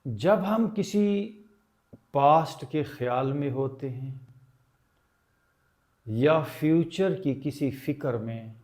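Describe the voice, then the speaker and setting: Guy teaching meditation, super slow n calm, soft mellow tone, lots of pauses. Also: a little high. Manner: calm, deliberate, with long pauses. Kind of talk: guided meditation instruction.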